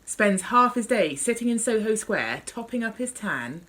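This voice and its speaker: A woman's voice: fairly high pitch, sing-song, normal speed, fairly bright tone, voice pushed up. Woman reading as a gossipy paramedic guy, amused and chatty, memoir dialogue, voice lifted a bit.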